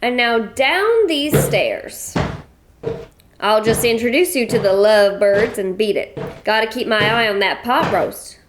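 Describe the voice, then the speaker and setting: A woman's voice: on the high side, lively intonation, unhurried, background noise. A woman playing a brash, folksy ranch widow. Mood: bossy, folksy. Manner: bossy, folksy, blunt. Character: brash rich widowed American ranch woman, middle-aged. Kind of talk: radio play acting.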